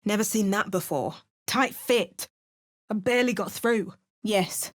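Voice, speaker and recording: An actress voicing a wry, bookish adventuring heroine with a British accent, speaking game voice lines and spell words. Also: female voice, mid-range voice, a little slow, lightly acted. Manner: dry, wry remarks and chanted spell words. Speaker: player character voice lines.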